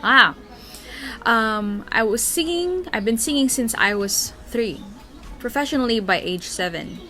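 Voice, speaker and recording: A woman's voice: a little high, expressive, unhurried, bright, forceful tone. Chatty young Filipino woman. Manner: chatty, reads questions aloud and answers at length. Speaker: blogger answering fan questions. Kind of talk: casual Q&A vlog.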